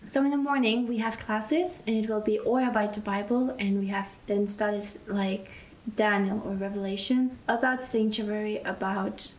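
A young woman from Belgium, a mission school student, answering interview questions in an unhurried way and often pausing to find her words. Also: mid-range voice, steady tone, low-quality recording. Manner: unhurried, searching for words, restarts.

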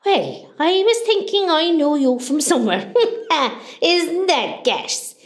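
A woman acting a nosy, warm-hearted older Irish mother from West Cork in a radio play, chatty and exclamatory. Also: high-pitched, big pitch swings, takes it slowly, exaggerated voice. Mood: chatty, curious.

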